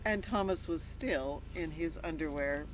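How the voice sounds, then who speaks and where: A woman reading a picture book aloud to children in an animated storytelling style, pausing to put questions to the listeners. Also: low-pitched, a little hiss or hum, poor audio. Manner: interactive, prompts listeners with questions. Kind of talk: animated read-aloud with asides to young listeners.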